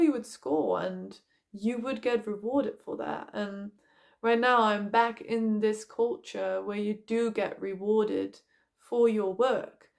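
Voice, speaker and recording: A young woman with a loud voice, talking bluntly and casually about her life, swearing now and then and filling with "like". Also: medium pitch, creaky. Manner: frank, blunt, swears casually, many "like" fillers. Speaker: vlogger. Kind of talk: candid personal vlog talk.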